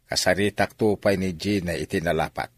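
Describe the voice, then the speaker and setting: Hard-drinking father for radio drama: low husky voice, stubborn bluster, exclamations. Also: fairly quick.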